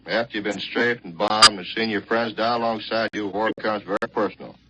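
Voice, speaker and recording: A man's voice: fairly low pitch, steady tone, a little fast, very noisy. An American man, a military pilot, giving a plain, matter-of-fact account in a 1940s radio interview. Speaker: army fighter pilot, colonel being interviewed. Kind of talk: 1940s radio interview, recounting war experience. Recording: muffled vintage radio with loud crowd behind.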